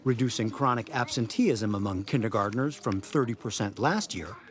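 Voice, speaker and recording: A man's voice: medium pitch, normal speed. An American man reading scripted news narration as a correspondent.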